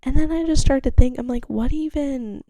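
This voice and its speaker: A young woman chats on her own podcast, rambling, giggling and swearing freely. Her voice is gravelly and mellow. The sound is dry and close. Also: fairly quick, dry recording. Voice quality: gravelly low-toned voice. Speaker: podcast host. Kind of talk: casual solo chat.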